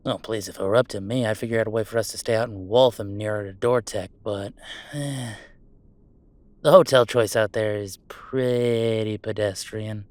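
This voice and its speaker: A man's voice: lowish voice, steady tone, normal speed, dry recording, lightly acted. A man playing a chatty, affectionate, well-off gamer in a roleplay audio drama, talking casually and reassuringly in a rambling, wry way. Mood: reassuring, explaining. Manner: casual, rambling, self-interrupting, wryly reassuring. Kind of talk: intimate roleplay audio acting, one-sided conversation.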